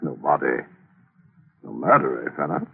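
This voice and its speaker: A man's voice: very deep voice, animated, normal speed, creaky, slightly rough, heavily acted. An actor in an old-time radio thriller playing a sly, gloating gunman who taunts with folksy, mocking friendliness. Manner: folksy, taunting, leisurely menace. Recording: tinny narrow-band old radio recording.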